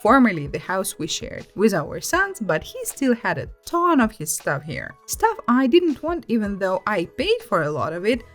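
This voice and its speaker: Animated woman storyteller over background music. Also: medium pitch. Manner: animated, emphatic, conversational storytelling. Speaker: host reading online stories aloud. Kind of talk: expressive scripted story narration.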